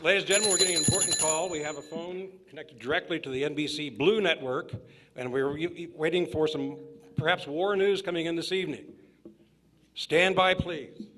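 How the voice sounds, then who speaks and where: Emphatic old-time radio announcer, played by a man, high voice, audible noise and clicks on the recording. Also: fairly quick, fairly bright tone, natural voice. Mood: urgent. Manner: emphatic, addressing the audience. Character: hearty 1940s radio studio announcer. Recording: noisy live recording with clicks.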